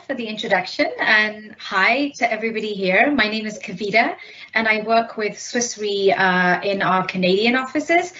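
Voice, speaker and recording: A woman's voice: mid-range voice, fairly bright tone, muffled recording. A woman working in human resources, introducing herself politely and professionally on a panel. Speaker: HR business partner, panelist.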